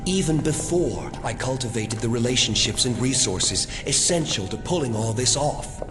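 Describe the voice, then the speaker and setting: A man plays a calm, self-satisfied mastermind in a dramatic audio production. His wording is measured and formal, condescending throughout, with animated intonation. Music runs clearly behind him. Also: mid-range voice, natural voice. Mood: smug, proud. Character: smug, calm man running a secret cloning facility.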